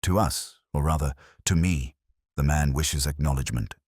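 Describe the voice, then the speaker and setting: A man voicing a precise, commanding, analytical detective in an audio story, speaking in clipped, deliberate phrases. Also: a bass voice, darkish tone, husky, no echo, clean studio sound. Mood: grave, intent.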